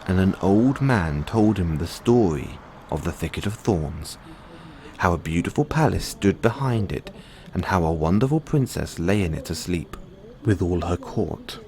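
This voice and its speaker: A man reading a bedtime fairy tale as a storyteller, in measured storybook narration. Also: low voice, lively intonation, dark tone. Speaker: storyteller playing a boyfriend in audio roleplay. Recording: clearly audible background noise.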